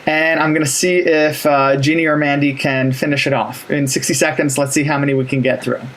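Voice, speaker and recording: A Canadian man, a guest, explaining things in an easygoing, relaxed way. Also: on the high side, brisk. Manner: easygoing, explains with light chuckles. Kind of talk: relaxed conversation.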